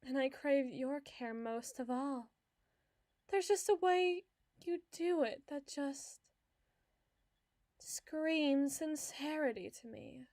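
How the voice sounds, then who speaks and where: A woman's voice: sits high, a little mellow, dry recording, studio quality. A woman playing an obsessive, lovesick hospital patient in an ASMR roleplay, talking in a dramatic, coy and wheedling way. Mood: adoring, intense. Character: obsessive, clingy lovesick female patient, theatrical flirt.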